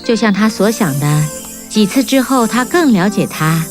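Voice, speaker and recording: A woman narrates a children's fairy tale in a clear, even storybook manner. Her voice sits at a middle pitch. Music plays clearly beneath her. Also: moderate pace. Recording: narration over prominent music.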